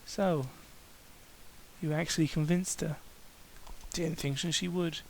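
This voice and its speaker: A man voicing a volatile, murderous female cartoon pony with a threatening, forceful manner. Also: a little high, fairly even intonation, takes it slowly, creaky, a little hiss or hum, poor audio. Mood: cold.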